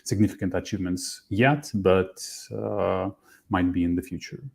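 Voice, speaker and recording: A man's voice: sits low, fairly even intonation, normal speed, vocal fry, a little mellow. A man, a guest on a live-stream interview, adding a point hesitantly with fillers. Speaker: interview guest, startup founder.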